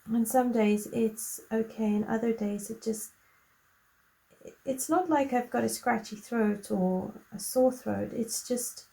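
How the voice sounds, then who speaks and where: A woman with a croaky, gravelly voice talks slowly and gently while she colors at her desk. Her tone is mellow and fairly high, and a lingering cough roughens it. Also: fairly even intonation, low-quality recording. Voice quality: slow, soft-spoken and gentle. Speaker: coloring tutorial host. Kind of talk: relaxed chatty tutorial.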